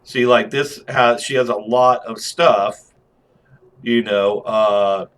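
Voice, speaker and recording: A man's voice: medium pitch, fairly even intonation, slow pace, a bit bright. A man giving casual, unscripted game commentary as a review host, rambling along in slang with chuckles.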